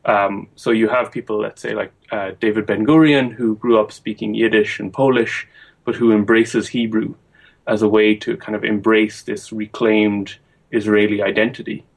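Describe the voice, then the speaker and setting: A young adult man answering as a guest historian in an interview, measured and thinking aloud with frequent ums. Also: fairly low pitch, little pitch movement, vocal fry.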